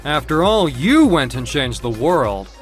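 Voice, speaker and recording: A man's voice: a little high, very animated, a little slow, soft background music, pitched above the performer's own voice. A man voicing a cynical, coolly mocking handsome demon in an audio drama, theatrical and taunting.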